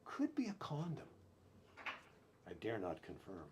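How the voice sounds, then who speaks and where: Slow, theatrical man reciting poetry. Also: fairly low pitch, wildly swooping pitch, very noisy. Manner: deliberate, theatrical delivery in short clipped phrases with pauses. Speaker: poet. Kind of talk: dramatic spoken-word poetry reading, with brief chatty asides.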